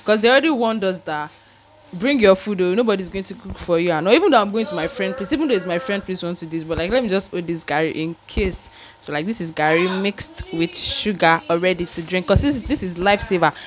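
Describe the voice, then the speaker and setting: A woman's voice: lowish voice, lively intonation, fast talker. A young woman with a Nigerian accent chatting casually to viewers in a vlog, often repeating herself. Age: young adult. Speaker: student vlogger. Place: small room at home. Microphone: small clip-on mic held near mouth.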